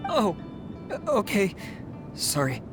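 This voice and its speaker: A man's voice: high voice, huge pitch swings, heavily acted. Nervy male clerk for a video game: hesitant, fussy, exact, socially awkward, over background music. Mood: nervous, awkward. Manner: halting, hesitant, pedantically precise. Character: timid, fussy male civil servant, awkward and literal. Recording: music playing over the dialogue.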